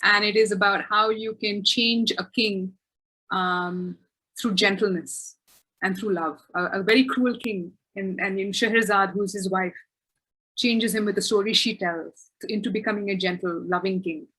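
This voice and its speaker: A woman's voice: mid-range voice, fairly even intonation, normal speed, sharp tone, husky, rough recording. A woman author answering questions in a webinar, thoughtful and self-correcting, with frequent restarts.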